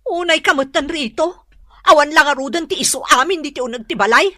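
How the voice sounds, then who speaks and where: A woman's voice: high-pitched, brisk, bright, forceful tone, no echo, studio quality. A woman playing an aggrieved, pleading wife in a radio drama, scolding in a plaintive, emotional way. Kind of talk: Filipino radio drama acting.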